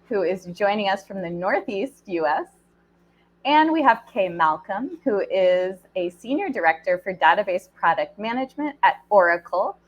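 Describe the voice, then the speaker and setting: A woman's voice: medium pitch, lo-fi sound. A woman hosting and opening an online panel on a video call, upbeat and enthusiastic with some fillers. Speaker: program director, event host.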